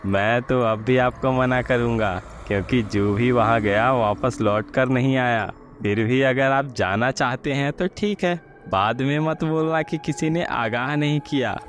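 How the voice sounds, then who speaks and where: A man's voice: mid-range voice, a little slow, hardly any pauses, slight background noise, voice pushed up, close to an everyday voice. A man voicing a frightened, deferential taxi driver who pleads and warns in a horror story. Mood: resigned warning. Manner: pleading, cautionary.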